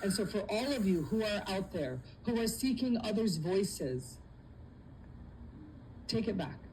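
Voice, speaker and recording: A woman's voice: mid-range voice, expressive, a little slow, a little mellow, a little hiss or hum, rough recording. A woman from a Palestinian family giving a firm, defiant, impassioned speech straight to camera. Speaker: activist.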